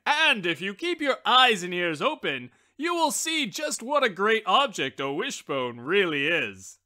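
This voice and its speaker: A strutting, self-important little wishbone in a storybook, declaiming loudly and talking down to everyone around him, as a woman reads him. His voice is bright and swings widely in pitch as he boasts. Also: sing-song, measured pace. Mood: haughty. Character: pompous boastful male wishbone, small.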